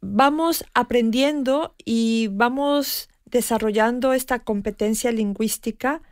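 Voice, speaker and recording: A woman in her fifties talking in a measured, reflective way as a radio guest. She pauses often and searches for the right word. The studio sound is dry and close. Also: a little high, no echo. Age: 50s. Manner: thoughtful, measured, with frequent pauses and hesitations. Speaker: guest expert on language learning. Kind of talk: radio conversation.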